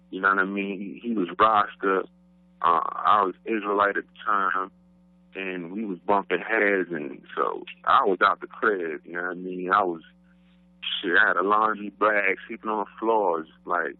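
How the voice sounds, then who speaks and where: A low-voiced man with a New York accent talks in an easy, laid-back way, dropping "you know what I mean" into nearly every thought. His voice comes through a tinny phone line and sounds very dry. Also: dry recording. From Brooklyn, New York. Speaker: guest rapper. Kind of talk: casual interview talk.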